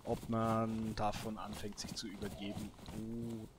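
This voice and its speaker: A man's voice: lowish voice, flat delivery, unhurried. Man, noisy gaming commentary. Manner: talks to himself, muttering short remarks. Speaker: gaming video host. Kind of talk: casual solo let's play commentary. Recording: clearly noisy background with clicks.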